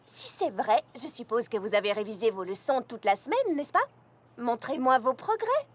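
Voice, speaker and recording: A woman's voice: on the high side, sing-song, normal speed. A woman voicing a kind, orderly young pony princess who teaches magic, composed and articulate, in a French cartoon dub. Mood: doubtful, stern.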